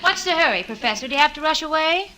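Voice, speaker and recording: An actress playing a level-headed, sardonic young American roommate in a 1940s radio sitcom. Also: female voice, sits high, animated, a loud crowd. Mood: exasperated. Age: young adult. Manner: dry, sardonic, quick-witted. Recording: very noisy, clicky 1940s radio recording.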